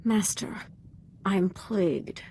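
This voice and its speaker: Devoted young Sith apprentice, background noise. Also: female voice, medium pitch, takes it slowly, darkish tone. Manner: earnest, deferential, articulate. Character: young female Sith apprentice, devoted, fervent, darkly eager. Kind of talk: dramatic video game voice acting.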